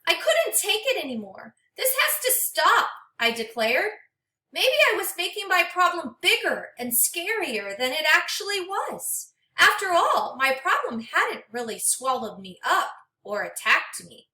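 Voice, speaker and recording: A woman reading a picture book aloud to young children like a teacher, expressively and dramatically, pausing to speak to her listeners. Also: extremely high pitch, sing-song, pushed and bright. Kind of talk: interactive children's read-aloud with questions to listeners. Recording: echoey room, distant microphone.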